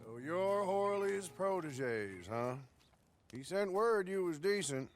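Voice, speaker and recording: A man playing a world-weary, wry American marshal in a Western video game, gruff and given to hesitations. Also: high-pitched, sing-song, slow pace, music in the background, exaggerated voice. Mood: weary, businesslike. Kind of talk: video game cutscene acting. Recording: faint background noise, outdoor ambience.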